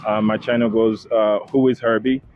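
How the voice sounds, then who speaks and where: A man's voice: fairly low pitch, level tone, normal speed. A young man from Haiti, a travel content creator, chatting casually as an interview guest with lots of fillers and repeated words. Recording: dull lo-fi sound, faint noise.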